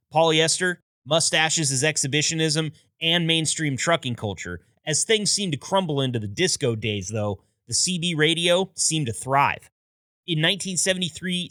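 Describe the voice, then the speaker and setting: A man's voice: a little high, pushed and bright, dry recording, studio quality. A man hosting a podcast, reading a scripted history narration in an upbeat storytelling manner with wry asides. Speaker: podcast host.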